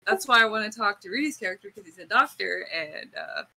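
A woman's voice: medium pitch, animated, fairly quick, gravelly, sharp tone, dry recording, poor audio. A woman chatting casually as a player in a tabletop game. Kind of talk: casual chat during a game.

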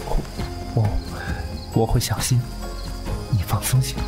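A man's voice: medium pitch, level tone, unhurried, loud music behind the voice, close to an everyday voice. A man playing a possessive, coolly intense young heir of a martial-arts sect in a Chinese wuxia audio drama. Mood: tender, nervous.

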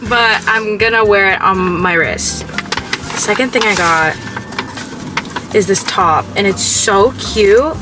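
A young woman chatting with her friend in a car, excitable and gushing over her purchases. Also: mid-range voice, slow. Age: young adult. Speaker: vlog guest, friend. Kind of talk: casual chat. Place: inside a car. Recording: background music mixed in.

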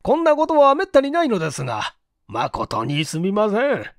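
A woman voicing a composed, courteous male detective chief in an audiobook, explaining in a deliberate, emphatic and formal way. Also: mid-range voice, wildly swooping pitch, no echo, studio quality, voice pushed up. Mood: cheerfully apologetic. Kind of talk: storybook dialogue in audiobook reading.

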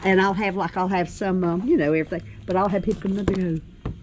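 A woman's voice: fairly low pitch, steady tone, fast, background noise. An older woman working a store checkout, chatting and agreeing readily with a customer. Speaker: shop clerk. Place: indoors at a store checkout counter. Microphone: camera worn by the customer, at some distance.

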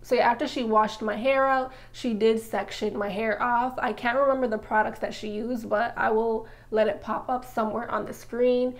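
A woman's voice: a little high, steady tone, normal speed, few pauses. A woman in her twenties, a hair vlogger, narrating in a casual, chatty voice-over full of filler words like "like".

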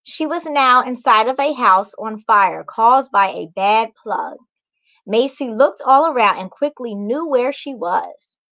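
Young woman talking to kids like a storybook author, encouraging, bit high pitched. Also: animated, unhurried, fairly bright tone, no room sound, dull audio. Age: young adult. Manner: encouraging, addresses children directly. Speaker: children's book author reading aloud. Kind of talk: storybook reading and talk to children. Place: small indoor room at home. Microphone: video call microphone.

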